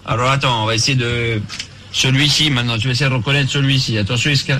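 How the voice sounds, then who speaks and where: A man hosting a radio phone-in, teasing and mocking the caller and cutting in quickly. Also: medium pitch, fairly even intonation, noisy background. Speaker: radio host.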